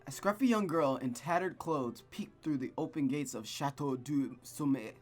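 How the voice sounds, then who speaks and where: A gaming host reading a visual novel aloud with casual, rambling, expressive commentary. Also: medium pitch, moderate pace, faint music behind. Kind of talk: casual game commentary and read-aloud narration.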